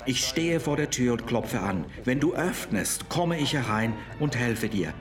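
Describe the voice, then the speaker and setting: Expressive male voice-over over music. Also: medium pitch, a little slow. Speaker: voice-over translator. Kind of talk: voice-over testimony.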